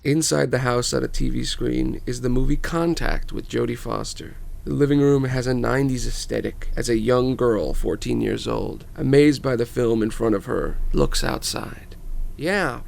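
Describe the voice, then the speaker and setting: A man's voice: mid-range voice, moderate pace, gravelly, few pauses, a little mellow. A man narrating a screenplay as podcast host, reading scene directions in a dramatic scripted style. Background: music.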